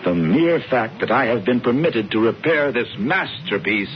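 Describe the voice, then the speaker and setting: A dull, noisy old radio recording of a man acting a brisk fellow in a radio play. He is smugly amused and grandly declamatory, with a voice that swings widely in pitch. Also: lively intonation, close to an everyday voice. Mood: grand, declaiming. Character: brisk, theatrical American man bossing his brother, smugly amused. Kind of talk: 1970s radio drama acting.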